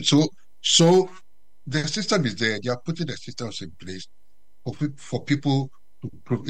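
A man from Ghana giving unscripted commentary as a guest, halting and full of fillers and restarts. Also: medium pitch, a bit bright. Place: UK resident.

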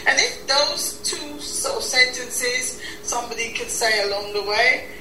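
A woman's voice: on the high side, a little slow, bright, forceful tone, roomy sound, distant mic, soft background music, low-quality recording. A woman in her sixties, from Guyana, speaking reflectively as a guest in an interview and often repeating words as she starts a thought. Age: 60s. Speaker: talk show host, interview guest.

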